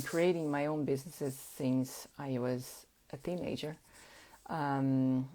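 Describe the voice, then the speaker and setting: A woman whose first language is Portuguese, hosting a casual live-stream chat at an unhurried pace, with frequent fillers. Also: sits low, dark tone, low-quality recording. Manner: unhurried, chatty, many fillers. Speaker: host.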